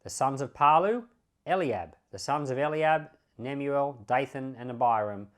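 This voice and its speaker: A man reading the Bible aloud as a pastor, in measured phrases with emphatic openings. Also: medium pitch, animated.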